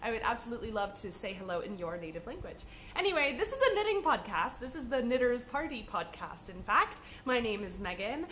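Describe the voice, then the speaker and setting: High-voiced chatty woman, a bit sniffly. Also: big pitch swings, a little fast, bright, forceful tone, a little hiss or hum, poor audio. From United States. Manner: chatty and upbeat with frequent ums. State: slightly sniffly from a cold. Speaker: podcast host. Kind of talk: casual chat to camera.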